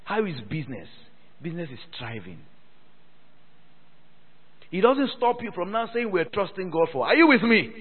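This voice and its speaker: A man's voice: sits high, sing-song, normal speed, pauses often, breathy edge. A man preaching a sermon to a church, repeating phrases and calling for responses from the congregation. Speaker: pastor. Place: church hall. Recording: thin phone-like sound with long hall reverb.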